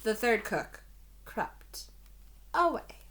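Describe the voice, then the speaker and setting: Lady teacher doing storytime for kids, slow n super expressive, bright voice, asks questions. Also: on the high side. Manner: expressive, deliberate, prompting listeners with questions. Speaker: teacher reading aloud to children. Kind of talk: children's story read-aloud.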